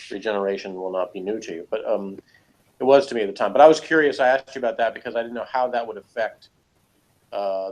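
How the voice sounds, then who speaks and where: A man's voice: medium pitch, slight background noise, low-quality recording. Middle-aged man, rambling conversational talk. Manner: conversational, rambling, self-qualifying. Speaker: podcast host. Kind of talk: casual review discussion.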